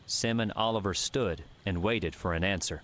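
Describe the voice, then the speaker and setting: A man's voice: low voice, moderate pace, fairly bright tone. A man narrating an adventure novel as a scripted audiobook reading. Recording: faint background noise and ambience.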